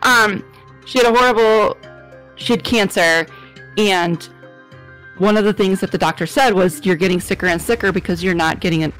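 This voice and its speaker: A woman with a mid-pitched voice is a guest in a relaxed podcast conversation. She speaks informally and puts blunt emphasis on her points. Music can be heard with her. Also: moderate pace, poor audio. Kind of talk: casual podcast chat.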